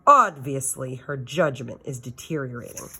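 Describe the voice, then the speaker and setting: A woman's voice: a bass voice, lively intonation, normal speed, pushed and bright, slightly rough. A woman reading a children's novel aloud as a teacher does for students, with expression.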